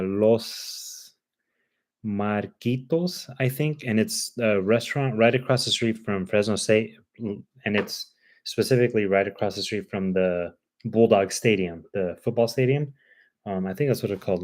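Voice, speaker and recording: A man's voice: lowish voice, measured pace, a little mellow. A man with a Cuban accent, hosting a livestream in easygoing chat, rambling with plenty of ums and uhs. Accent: Cuban Spanish. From Cuba. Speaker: livestream host. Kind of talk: casual chat.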